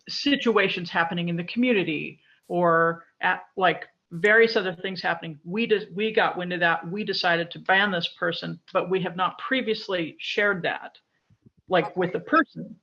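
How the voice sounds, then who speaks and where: A man questioning the board in an online meeting, halting and correcting himself as he goes. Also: high voice, bright, forceful tone, dull audio. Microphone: video call.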